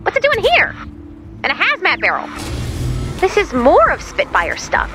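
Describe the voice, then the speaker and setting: A woman voicing a cheerful, chatty, excitable robot helper in a video game, with a perky, quirky delivery. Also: very high-pitched, moderate pace, music in the background, full character voice. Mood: puzzled, concerned. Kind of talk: video game mission dialogue.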